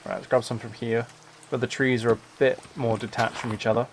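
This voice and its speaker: A man's voice: mid-range voice, level tone, a little fast, slight background noise. A man chatting casually as he hosts a live game stream, rambling along and commenting on himself with a lightly wry manner. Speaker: streamer, host. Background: background music.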